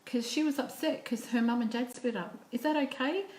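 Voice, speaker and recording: A woman's voice: a little high, brisk, a little mellow, voice pushed up, natural voice. A woman storyteller acts out an innocent young schoolboy. He speaks eagerly and earnestly, in a simple childlike way, to his mum. The recording rings with room echo. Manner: artless, earnest. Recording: echoey room.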